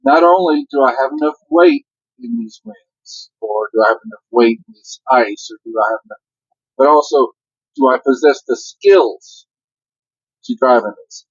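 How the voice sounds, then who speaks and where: A man's voice: medium pitch, sing-song, moderate pace, slightly rough, no echo, lo-fi sound. An older man who drives a truck, chatting casually and giving matter-of-fact safety advice. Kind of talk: casual vlog chat.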